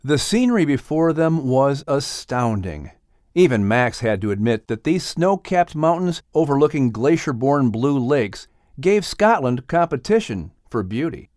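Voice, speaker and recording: A man narrating a children's audiobook in an expressive, scripted storytelling style. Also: medium pitch, a little slow, dry recording, studio quality.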